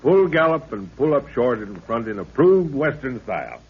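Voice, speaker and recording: An actor playing a brisk, fatherly middle-aged professor who leads an expedition in an old radio drama, hearty and commanding. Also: medium pitch, big pitch swings, breathy edge. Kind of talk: 1930s radio drama acting. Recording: muffled 1930s radio recording.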